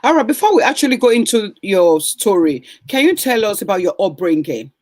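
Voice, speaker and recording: A man's voice: sits high, very animated, brisk, darkish tone, no room sound, clean studio sound. A man hosting an interview, curiously and encouragingly asking his guest questions, sometimes searching for words. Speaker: host.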